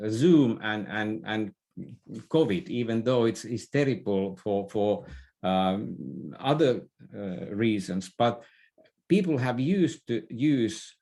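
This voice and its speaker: Middle-aged man, halting delivery. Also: medium pitch, a little mellow. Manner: hesitant, repeating words as he goes. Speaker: podcast guest, business expert. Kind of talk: conversational interview, giving advice.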